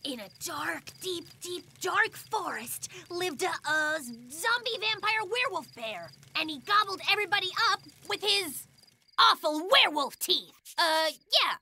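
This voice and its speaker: Very high-pitched cocky bear cub boy. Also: unhurried, full character voice. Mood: spooky storytelling. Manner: animated, cocky bravado. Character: excitable boastful little brown bear cub, young boy. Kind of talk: animated kids' cartoon dialogue. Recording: music behind the voice. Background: cartoon music.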